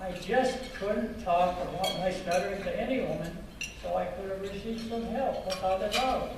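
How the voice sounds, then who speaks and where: An older man earnestly recounting his life story in a slow, reflective manner, recorded from a distance. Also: high-pitched, noisy background. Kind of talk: personal storytelling talk. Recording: echoey and distant with loud background clatter.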